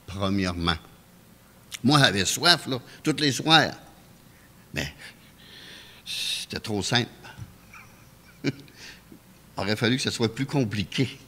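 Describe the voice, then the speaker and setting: Low-voiced quebecois preacher guy, bright tone, calm storytelling, church echo. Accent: Québécois French. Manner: calm, anecdotal, chuckles often. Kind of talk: testimony sermon. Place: church sanctuary. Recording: echoey church hall.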